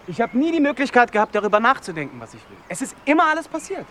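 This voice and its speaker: An actor playing a vulnerable, brooding young outcast in a naturalistic radio drama, speaking in an earnest, halting, searching way. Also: male voice, very high-pitched, sing-song, sharp tone, slight background noise, exaggerated voice. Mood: anguished.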